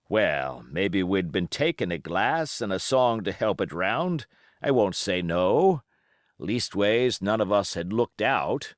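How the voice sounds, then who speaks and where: A sly old seafaring pirate played by a male reader, all cajoling sailor talk with menace under the geniality. The pitch swoops about freely and the pace is unhurried, as in a storybook told aloud. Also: no room sound, studio quality, exaggerated voice. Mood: boastful, wry. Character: wily old male sea-cook pirate captain, genial yet menacing. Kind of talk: audiobook character acting.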